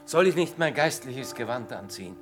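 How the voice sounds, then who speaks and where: An actor playing a touchy, excitable and somewhat prim army chaplain in a radio drama. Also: male voice, medium pitch, moderate pace, faint music behind, lightly acted. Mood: eager. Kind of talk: German stage-style radio drama acting.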